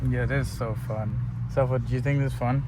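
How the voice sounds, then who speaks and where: A man's voice: medium pitch, fairly even intonation, brisk. A young British man chatting casually in slangy, jokey banter as he vlogs from inside a car. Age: young adult. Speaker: driving vlogger. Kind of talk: casual chat, walkie-talkie exchanges. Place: inside a car while driving. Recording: loud car and road noise.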